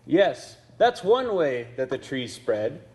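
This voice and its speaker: A man narrating a puppet show as a storyteller, with scripted, measured phrasing. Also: mid-range voice, sing-song. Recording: loud guitar music behind voice.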